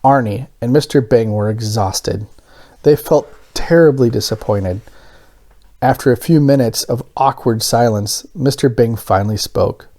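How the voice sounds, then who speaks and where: A man's voice: medium pitch, unhurried, warm tone. A man reading a children's story aloud as scripted narration. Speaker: storybook reader.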